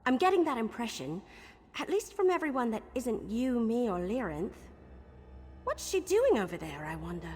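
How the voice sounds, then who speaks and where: Lively, high-voiced adventurer in reverb. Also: female voice, husky. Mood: suspicious. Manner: brisk, informal, conspiratorial. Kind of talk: scripted video game dialogue. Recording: long reverb, game music behind.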